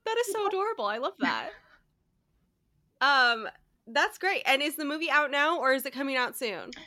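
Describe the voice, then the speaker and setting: A woman hosting an interview in a casual, enthusiastic way, quick to affirm her guest. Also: sits high, animated, pushed and bright. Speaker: interview host.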